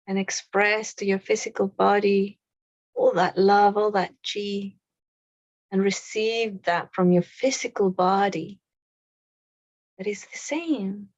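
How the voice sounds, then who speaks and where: A woman leading a guided meditation in a soothing, unhurried way, with pauses between short phrases. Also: mid-range voice, fairly even intonation, no echo, highs cut off.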